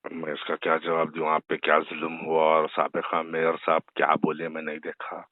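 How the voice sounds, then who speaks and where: A man's voice: a bass voice, monotone, moderate pace, no room sound. A man with a Hyderabadi accent on a recorded phone call, answering defensively and emphatically, often repeating himself. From Hyderabad, India. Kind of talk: argumentative phone conversation. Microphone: telephone. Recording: tinny, band-limited recorded phone call.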